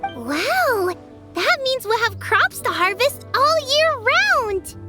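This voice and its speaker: Game role: small village child, squeaky-high, unhurried and hesitant, earnest, cheeky, prominent music behind. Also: female voice, very animated, heavily acted. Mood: excited, cheeky. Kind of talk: video game voice acting. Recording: playful jingly music behind voice.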